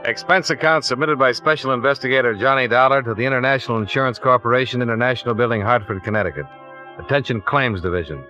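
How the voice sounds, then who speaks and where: An American man playing a brisk, cool insurance investigator who narrates and questions in a 1950s radio detective drama. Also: medium pitch, bright, forceful tone, muffled recording, lightly acted. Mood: matter-of-fact. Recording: vintage radio broadcast, faint music.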